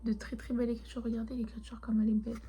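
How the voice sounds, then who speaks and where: A young woman who grew up speaking Turkish, chatting casually and rambling softly, often half-whispering, as she shows her souvenirs. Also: a little high, flat delivery, racing, background noise. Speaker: vlogger. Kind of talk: casual chat showing souvenirs.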